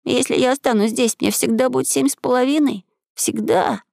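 Woman voicing an earnest high-pitched little boy. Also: moderate pace, gravelly, darkish tone, dry recording, studio quality. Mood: anxious. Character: earnest seven-and-a-half-year-old boy, curious and bold.

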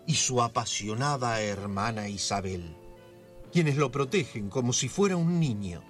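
An actor playing an intimate neighborhood storyteller, narrating a scripted radio drama in an unhurried way with a Buenos Aires accent. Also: male voice, mid-range voice, close to an everyday voice. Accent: Argentine, Buenos Aires.

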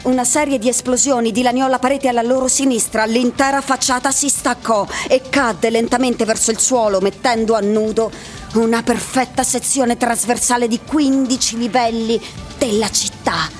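An actress narrating a bleak futuristic story for a radio drama, in a measured literary style, with music behind her. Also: fairly high pitch, steady tone, natural voice. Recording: music bed under the voice.

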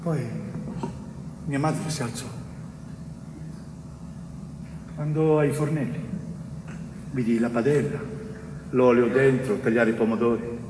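An actor playing a weary, innocent man telling his story in a slow, halting theatrical monologue. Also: medium pitch, natural voice. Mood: sorrowful. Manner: slow, halting, dramatic delivery with long pauses. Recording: distant, echoey theatre stage, faint noise.

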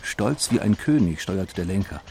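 A man's voice: low voice, expressive, normal speed, creaky, a little mellow, a little hiss or hum. A man giving a dramatic literary recitation in rhythmic, declaimed short phrases.

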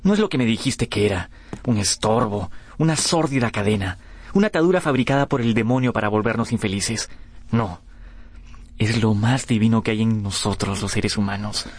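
An actor playing a vain, impassioned young gentleman in a radio drama, speaking in an earnest, declamatory manner. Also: on the low side, moderate pace, a little hiss or hum, natural voice. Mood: resolute, elated. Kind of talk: Spanish-language radio drama acting.

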